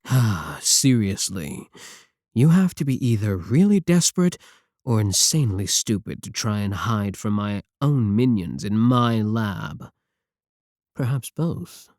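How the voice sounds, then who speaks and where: A taunting villain scientist, voiced by a man speaks close to the mic in a low, sarcastic tone. He sounds arrogant and condescending. The recording is dry, with no room sound. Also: big pitch swings, slow, a little mellow, dry recording. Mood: mocking. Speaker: voice actor in audio roleplay. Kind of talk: ASMR roleplay audio.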